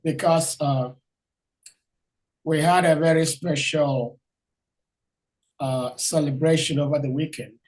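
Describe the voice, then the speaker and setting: A man hosting an online devotional talk, hoarse from losing his voice, welcoming a speaker politely with many hesitations. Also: on the high side, fairly even intonation, slow pace, long pauses, a little mellow, low-quality recording. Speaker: host. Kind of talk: formal welcome and introduction.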